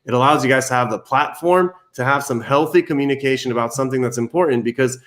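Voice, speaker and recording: A man's voice: medium pitch, quick pace, a bit bright. Reassuring male family therapist from Iran. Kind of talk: empathetic advice to a caller.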